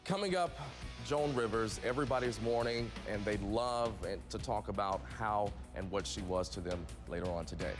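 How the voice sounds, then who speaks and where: A man's voice: lowish voice, normal speed, big-room sound, music in the background. A man with an American accent reading scripted morning television news as the anchor. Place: TV news studio.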